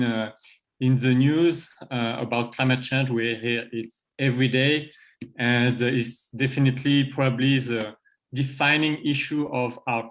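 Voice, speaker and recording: A man with a French accent presenting a climate proposal on an online panel, halting and full of fillers. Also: mid-range voice, rough recording. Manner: halting, many fillers and restarts. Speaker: campaign presenter.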